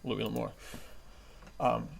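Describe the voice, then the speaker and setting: Enthusiastic man with a gravelly edge, podcast host. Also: mid-range voice, level tone, slow pace. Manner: enthusiastic, chummy, chuckles often. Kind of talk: casual podcast chat. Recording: faint background noise.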